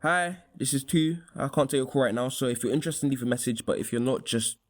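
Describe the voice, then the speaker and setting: A man's voice: medium pitch, fast talker, lightly acted. Man acting curt voicemail greeting over music. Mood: offhand, dismissive. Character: offhand, curt young man's voicemail greeting. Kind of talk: recorded voicemail greeting in radio play.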